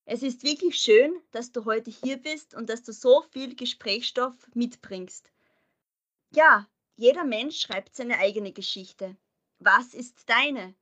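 Young woman hosting a podcast, bright higher voice, chatty and easygoing. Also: takes it slowly. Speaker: podcast host. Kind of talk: casual podcast interview.

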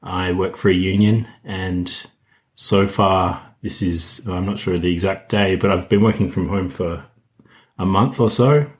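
A man in his thirties speaks with a low-pitched voice. He is a guest on a podcast, talking informally about his own life. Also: steady tone, unhurried. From Australia. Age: 30s. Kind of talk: casual chat.

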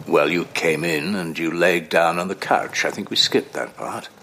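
An actor playing a composed, courteous professor and analyst in an audio drama, formal and probing. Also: male voice, sits low, normal speed, a bit bright. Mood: brisk, courteous.

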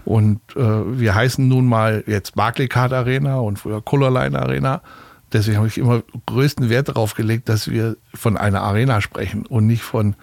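A man's voice: mid-range voice, fairly even intonation, a little fast, creaky, a little mellow, dry recording. A man in his sixties from Berlin, a guest telling chatty stories in an interview, with many fillers and restarts. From Berlin, Germany. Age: 60s. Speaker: arena manager, guest. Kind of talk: relaxed interview talk.

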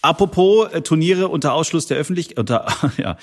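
A man's voice: a little high, expressive. Sarcastic Swabian man hosting a chat. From Swabia, Germany. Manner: sarcastic, joking, quick asides. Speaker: podcast host. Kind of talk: casual chat.

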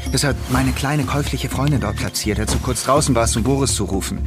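A man's voice: mid-range voice, a little fast, close to an everyday voice. An actor playing a middle-aged male journalist in an audio drama, determined and accusing, with a controlled, dry delivery. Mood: dry, triumphant. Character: determined, accusing male journalist, wounded but quietly triumphant. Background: music.

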